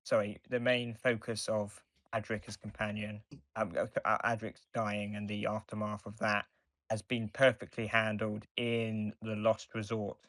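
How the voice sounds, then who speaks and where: Male podcast co-host, low forceful voice, halting casual explainer, dry close sound. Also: monotone, dry recording.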